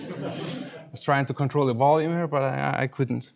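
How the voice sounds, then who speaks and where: A man's voice: mid-range voice, steady tone, measured pace, vocal fry. A middle-aged man with an Icelandic accent giving an informal conference talk in a hesitant, self-deprecating way with dry humour. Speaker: conference speaker, UX designer.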